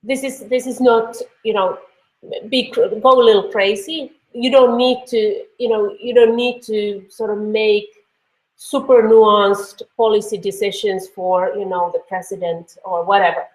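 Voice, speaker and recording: A woman's voice: medium pitch, steady tone, unhurried, a little mellow. A woman with a Finnish accent giving an online course lecture in a loose, conversational way, with many fillers and restarts. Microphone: computer mic on a video call. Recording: echoey room.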